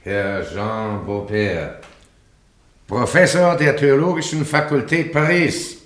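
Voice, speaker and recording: An actor playing a stern, formal church judge presiding over a trial in a radio play, speaking with authority. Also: male voice, medium pitch, fairly even intonation, slow, fairly bright tone, a little hiss or hum. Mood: stern, commanding. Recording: echoey courtroom-like room.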